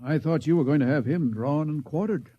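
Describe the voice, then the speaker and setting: An actor playing a wry, familiar ship's doctor who teases an old friend, in 1950s radio drama style. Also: male voice, a little high, brisk, highs cut off, close to an everyday voice. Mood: teasing. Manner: dry, teasing. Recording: noisy old radio recording.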